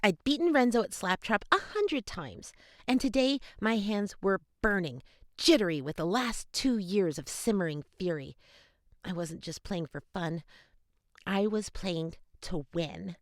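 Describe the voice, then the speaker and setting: A woman giving an expressive, dramatic first-person audiobook narration of young adult fantasy. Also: medium pitch, measured pace, dry recording, studio quality.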